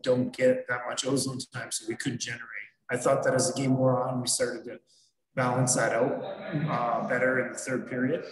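Analytical coach on an echoey video call. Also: male voice, medium pitch. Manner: measured, analytical, frequent fillers. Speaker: hockey head coach. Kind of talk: postgame press conference answers. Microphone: video call webcam. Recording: roomy echo.

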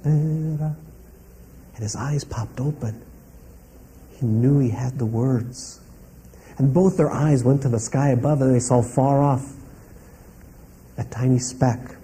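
A man telling a story aloud in a calm, rhythmic way, linking each step with "and". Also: mid-range voice, slow pace, long pauses, slight background noise, low-quality recording. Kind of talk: oral storytelling.

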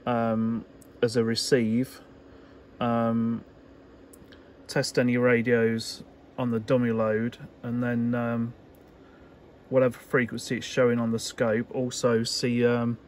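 A man giving a casual, unscripted workbench demonstration in halting, hesitant phrases, as an electronics hobbyist would. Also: medium pitch, completely flat delivery, darkish tone. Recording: clear background noise.

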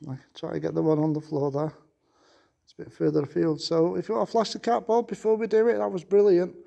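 Man, Manchester accent, ghost hunter, high voice, mellow tone, polite coaxing, stone castle room, camera mic. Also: little pitch movement, quick pace, creaky, lo-fi sound. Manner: polite, coaxing, repeats requests with please. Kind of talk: paranormal investigation calling out to spirits.

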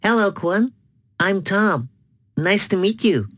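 A woman voicing a friendly young schoolboy in a textbook dialogue, with a husky voice. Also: medium pitch, lively intonation, takes it slowly, no room sound, studio quality.

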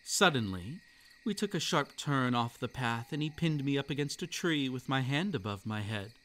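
A man narrating a scripted roleplay ASMR story in a soft, unhurried storytelling voice. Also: mid-range voice, expressive.

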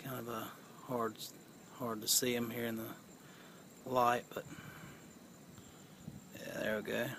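A man's voice: mid-range voice, slow, long pauses, background noise. A tired man talking casually to his handheld camera while fishing outdoors at night. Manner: tired, relaxed, mumbling. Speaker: night fisherman filming. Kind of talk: casual commentary while filming.

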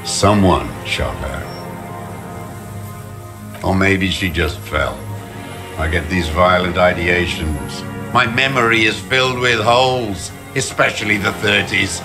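An embittered old revolutionary in a video game drama. His voice is extremely low and his delivery slow, brooding and full of contempt, with music playing clearly underneath. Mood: grim, rambling. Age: older. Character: bitter old communist deserter, male, hateful and rambling.

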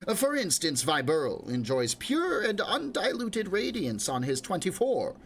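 A man voicing a smooth-talking roguish quarry worker who spins evasive explanations, in an audiobook reading. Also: sits high, sing-song, measured pace, a bit bright, pitched above the performer's own voice, full character voice. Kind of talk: audiobook character voice.

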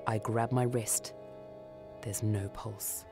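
A man's voice: on the low side, little pitch movement, takes it slowly, music in the background. A man reading a scripted first-person suspense story for radio. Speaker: story reader.

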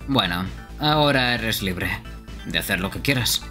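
A man's voice: lowish voice, expressive, measured pace, sharp tone. A man voicing a cool, wry young ghost hero in a red suit for a fan dub, with faint game music behind. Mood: wistful cool. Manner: playful, laughs often between lines. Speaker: streamer dubbing a game.

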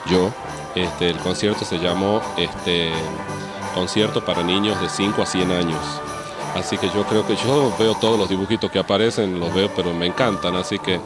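An Argentine man with a low-pitched voice explains things in an informal interview, often pausing to find his words. Loud music plays behind him throughout. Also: steady tone. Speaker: orchestra conductor being interviewed. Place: theatre, on location.

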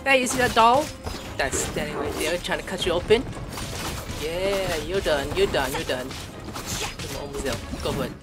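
A woman's voice: low-pitched, expressive, normal speed. A woman giving casual gameplay commentary, talking to the game with sudden exclamations and swearing. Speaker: gaming streamer. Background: game music.

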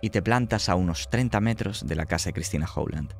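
A man's voice: sits low, expressive, a little fast, gravelly. A man with a Spanish accent from Spain, acting as game master and narrating a horror role-playing game in short, suspenseful phrases.

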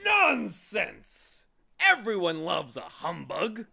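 A man voicing a large, loud, dandyish braggart of a beetle with a booming voice, in a storybook reading. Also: big pitch swings, unhurried, creaky, exaggerated voice. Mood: blustering, self-important. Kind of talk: theatrical children's storybook reading.

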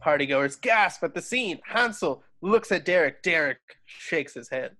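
A man's voice: on the high side, animated, a little slow, bright, forceful tone. A man narrating stage directions from a script in an amateur table read over a video call.

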